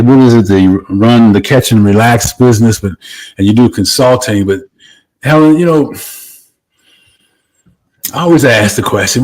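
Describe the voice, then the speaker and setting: Guy hosting a video call chat, lowish voice, rambles and restarts a lot. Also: darkish tone, rough recording. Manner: rambling, many restarts and fillers. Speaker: host. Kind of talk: casual interview chat.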